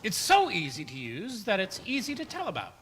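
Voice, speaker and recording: A man's voice: high-pitched, wildly swooping pitch, fairly quick, a bit bright, heavily acted. A man playing hearty, pompous characters in an old-time radio comedy, emphatic and theatrical. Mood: smooth salesmanship. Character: hearty announcer and pompous, indignant neighbor. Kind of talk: 1940s radio comedy acting. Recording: roomy hall reverb, noisy background.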